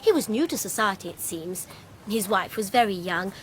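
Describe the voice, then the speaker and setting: Coquettish young society lady, animated pitch played by an actress. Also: moderate pace, close to an everyday voice. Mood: teasing, confiding. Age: young adult. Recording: faint background noise, 1990s radio play.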